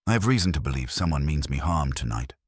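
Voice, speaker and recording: A man voicing an anxious, guarded high-society woman in an audiobook mystery, speaking earnestly and formally with a faltering manner. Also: lively intonation, quick pace, slightly rough, no echo, clean studio sound, heavily acted.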